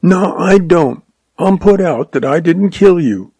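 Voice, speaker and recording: Boastful kid voiced by a man, higher voice, gravelly and dark underneath. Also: animated, measured pace, no echo, full character voice. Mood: sulky. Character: sullen boastful young boy.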